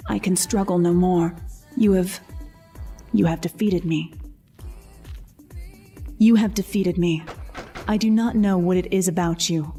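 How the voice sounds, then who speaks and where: A low, velvety-dark female voice acted by a woman for a fantasy game companion. She is proud, wounded and distrustful, phrasing every thought formally and dramatically over soft music. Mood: surrendering, bitter. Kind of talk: fantasy video game character acting.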